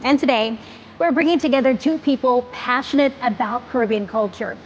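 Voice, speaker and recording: A woman hosting a podcast-style interview, making bright introductions and affirming her guests often. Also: high voice, brisk, highs cut off, a little hiss or hum. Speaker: host.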